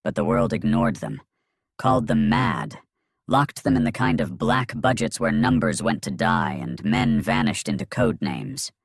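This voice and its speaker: A man narrates dramatic science fiction with a gravelly, husky voice. His delivery is grave and ominous, landing short emphatic phrases with animated swings in pitch. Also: a little slow, no echo, clean studio sound. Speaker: story narrator. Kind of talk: dramatic scripted sci-fi story narration.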